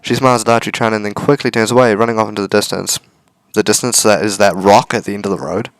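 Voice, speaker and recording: Guy narrating a visual novel lets play, bit of fry in his voice, earnest and measured, dry mic. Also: lowish voice, fairly even intonation, dry recording, rough recording. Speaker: let's-play host reading a visual novel aloud. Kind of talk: dramatic read-aloud narration with casual asides.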